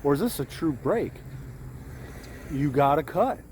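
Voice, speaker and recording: A man's voice: on the high side, animated, unhurried, a little mellow, slight background noise. A man giving an informal, off-the-cuff motivational talk as a trading coach, repeating phrases for emphasis. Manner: acts out traders' thoughts, repeats phrases for emphasis. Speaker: day trader giving trading tips. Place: outdoors in the heat.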